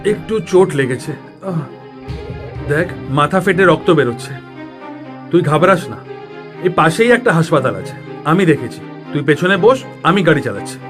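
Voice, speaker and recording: A man voicing an animated, excitable cartoon fellow. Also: a little high, normal speed, long pauses. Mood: tired, concerned. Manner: casual, animated. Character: excitable ordinary man, friend on a road trip. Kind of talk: cartoon voice acting. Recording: music behind the voice.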